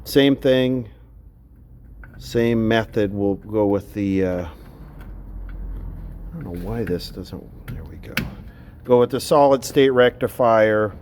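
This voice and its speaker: A man's voice: fairly low pitch, slow pace, long pauses, a little mellow, a little hiss or hum. Blunt man thinking aloud. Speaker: electronics repair video host. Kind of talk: casual unscripted workbench commentary.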